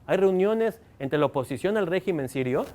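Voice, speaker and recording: Questioning Mexican professor, high-ish voice. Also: male voice, animated, moderate pace, darkish tone. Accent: Mexican Spanish. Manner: engaging, fires rhetorical questions at the audience. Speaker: university professor. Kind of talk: lecture to students. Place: university lecture hall.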